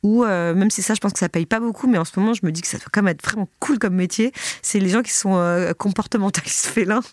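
A woman's voice: medium pitch, fast, a little mellow, no echo. A woman in her thirties with a stuffy head cold, chatting casually as a podcast guest, swearing casually and acting out other people's voices. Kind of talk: casual chat.

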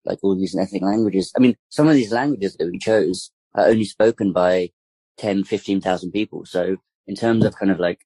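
A man's voice: low voice, moderate pace, warm tone, breathy edge, no room sound, poor audio. A man speaking as a guest campaigner in a casual interview, rambling with lots of "like" and "kind of". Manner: rambling, full of fillers and restarts.

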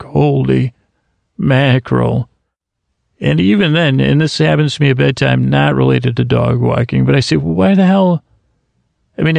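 A man's voice: medium pitch, darkish tone, no echo. A man hosting a bedtime podcast, rambling in a meandering way with lots of restarts and trailing thoughts. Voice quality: gravelly. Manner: meandering, self-interrupting, many restarts. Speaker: podcast host. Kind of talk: rambling sleep podcast monologue.

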